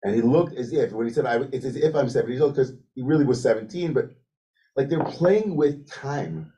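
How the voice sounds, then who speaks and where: A man leading a lively study discussion as rabbi, thinking aloud with many restarts and "like" fillers. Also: mid-range voice, brisk. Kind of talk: animated teaching discussion. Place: synagogue room with a Zoom link.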